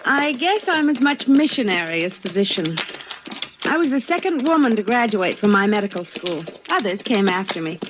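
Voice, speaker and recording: A woman's voice: mid-range voice, sing-song, normal speed, natural voice. An actress playing a determined frontier woman doctor in an old-time radio western, plain-spoken and earnest. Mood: wry, earnest. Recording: dull, slightly noisy old radio recording.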